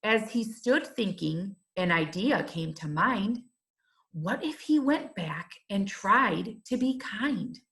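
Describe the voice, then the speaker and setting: A woman reading a rhyming children's storybook aloud, in a measured and expressive teacher's manner. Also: mid-range voice, vocal fry.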